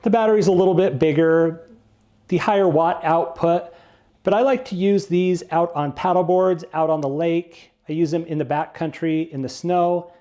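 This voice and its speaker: A man's voice: a little high, normal speed, dark tone, highs cut off. A man giving a casual product review, explaining things plainly, step by step.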